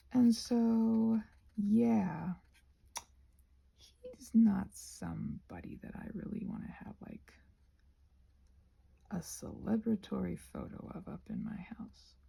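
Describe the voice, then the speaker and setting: Very creaky, soft-edged woman rambling casually. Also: mid-range voice. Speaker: host of a craft ramble video. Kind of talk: casual rambling chat, ASMR channel.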